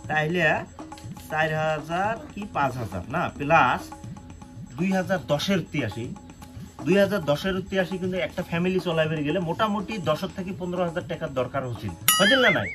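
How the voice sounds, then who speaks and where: A man's voice: a little high, animated, fairly quick, natural voice. A man playing a pushy, evasive network-marketing recruiter in a comedy sketch, speaking a northern Bengali dialect and repeatedly badgering for agreement. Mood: persuasive. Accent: northern Bengali regional dialect. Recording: music laid under the dialogue.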